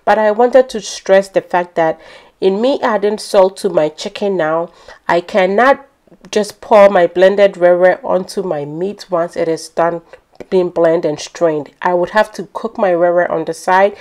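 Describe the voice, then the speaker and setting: A woman's voice: fairly low pitch, measured pace, darkish tone. A woman with a Ghanaian accent narrating a home cooking tutorial in a chatty, explanatory way. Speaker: home cook hosting a cooking channel. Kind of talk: instructional cooking narration, conversational.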